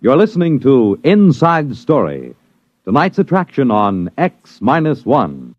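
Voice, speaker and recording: A man's voice: mid-range voice, wildly swooping pitch, a little slow, dry recording. A man announcing formally in the style of old-time radio. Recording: muffled old radio recording with music.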